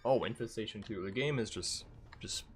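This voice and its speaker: A man's voice: on the low side, normal speed, slight background noise. A man with a stuffy, congested voice giving rambling, self-mocking commentary as he streams a game. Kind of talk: casual gameplay commentary.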